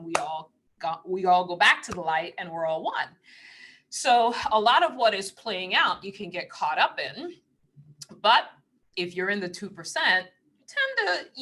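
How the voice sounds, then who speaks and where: A woman from Trinidad, giving a rambling live-stream talk as a spiritual teacher, laughing often and dropping into hushed asides. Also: fairly low pitch. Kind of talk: casual live-stream monologue.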